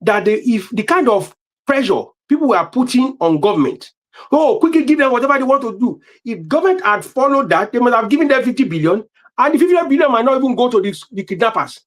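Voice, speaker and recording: A Nigerian-accented man giving political commentary as a panelist, halting and repeating words as he goes. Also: very high-pitched, sing-song.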